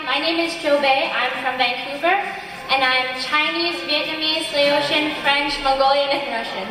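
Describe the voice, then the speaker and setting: A woman's voice: sits high, steady tone, moderate pace. A young woman introducing herself as a pageant contestant, playfully listing. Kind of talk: stage self-introduction. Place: large event hall. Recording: echoey hall from far off, crowd noise.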